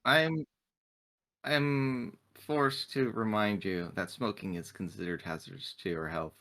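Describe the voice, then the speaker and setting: A man's voice: fairly low pitch, steady tone, a little slow, pushed and bright. A man playing a tabletop roleplaying game, describing actions and speaking in character with matter-of-fact, formal wording.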